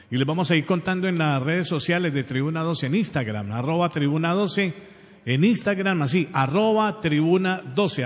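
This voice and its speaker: A man from Pereira, Colombia, with a Colombian accent, closing his radio show with heartfelt thanks and blessings. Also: a little high. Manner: earnest, heartfelt, religious blessings. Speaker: radio host. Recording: muffled radio audio with long reverb and music bed.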